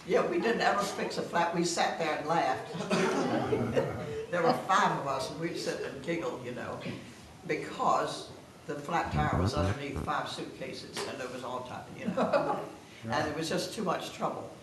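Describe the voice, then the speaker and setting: A woman's voice: sits low, animated, measured pace, crowd noise. A 98-year-old woman telling stories casually among family in a giggly, playful manner. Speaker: guest of honor reminiscing. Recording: noisy, echoey room, distant mic.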